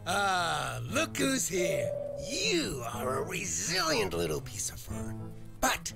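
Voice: medium pitch, huge pitch swings, takes it slowly, vocal fry, heavily acted. An actor voicing a pompous, menacing alien cat commander in a cartoon game cutscene. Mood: gloating menace. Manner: menacing, blustering. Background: loud music.

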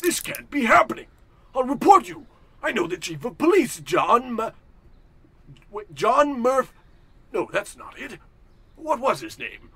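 A man's voice: very high voice, big pitch swings, unhurried, long pauses, fairly bright tone, breathy edge, exaggerated voice. A man acting a pompous, indignant and forgetful wealthy businessman in a blustering, theatrical old-time radio comedy style. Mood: panicked.

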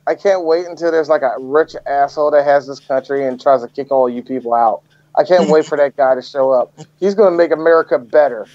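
A man's voice: fairly high pitch, fairly quick, dry recording. Joking man podcaster, dry dull mic. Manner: joking, animated, breaks into mocking impressions. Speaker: podcast co-host. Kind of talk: casual comedic movie-review chat.

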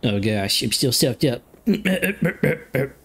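A young man with a stuffed-up nose giving casual, jokey commentary while playing a game, breaking into excited outbursts. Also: mid-range voice, very slow, vocal fry, husky. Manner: chatty, jokey, excitable outbursts during fights. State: stuffed up from a cold. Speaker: gameplay commentator. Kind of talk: casual let's play commentary. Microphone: desk microphone.

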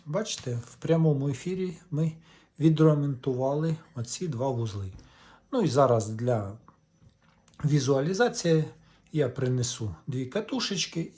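A man hosts a casual hands-on demonstration. His voice is soft-edged and mellow, at an ordinary male pitch. He takes his time, talking slowly in short, halting phrases as he works. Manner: unhurried, halting in short phrases while working. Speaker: host of a reel-to-reel repair channel.

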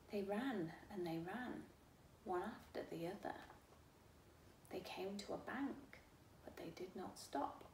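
A middle-aged woman reads a children's storybook aloud in a deliberate, expressive manner, recorded in a living room from a few feet away. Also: medium pitch. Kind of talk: read-aloud storytime narration. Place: small living room, indoors. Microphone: camera a few feet away. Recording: roomy, distant mic, faint hiss.

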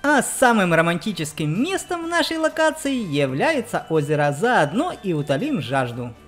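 A woman co-hosting a children's gaming channel, giving expressive, storytelling let's play commentary. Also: fairly low pitch, moderate pace. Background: background music.

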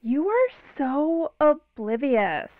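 A woman's voice: high voice, sing-song, slow, dry recording, exaggerated voice. A woman playing a breezy, sardonic young girlfriend in an audio drama, teasing, through a phone filter. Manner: drawling, teasing. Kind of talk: modern audio drama acting. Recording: tinny narrow phone line.

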